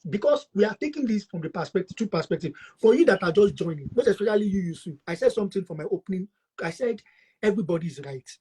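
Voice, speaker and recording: A man's voice: high-pitched, expressive, fast, a little mellow, breathy edge, low-quality recording. A man hosting a panel talk show, asking probing questions and often restarting them. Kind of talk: moderating a panel discussion.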